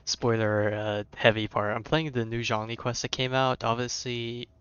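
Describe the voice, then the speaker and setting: A man's voice: medium pitch, fairly even intonation, moderate pace, fairly bright tone, clear, resonant tone, dry recording, highs cut off. A young man doing casual live game commentary in a slangy, reactive way. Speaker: game streamer.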